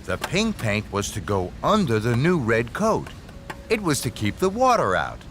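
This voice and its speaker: A man narrating a scripted children's story in an expressive storytelling manner. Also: medium pitch, moderate pace, noisy background. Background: music.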